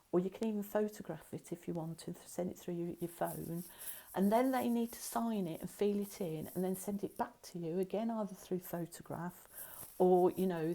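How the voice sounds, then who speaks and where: A middle-aged woman talks students through a lesson. Her voice is mellow and warm, and her pitch sits in the middle. She rambles a little, with asides and fillers. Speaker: Reiki teacher. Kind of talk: recorded course lesson.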